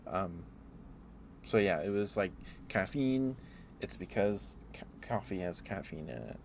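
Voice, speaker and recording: A man streaming casual, rambling ASMR chat, telling stories in a halting, meandering way. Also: low voice, expressive. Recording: clearly audible background noise.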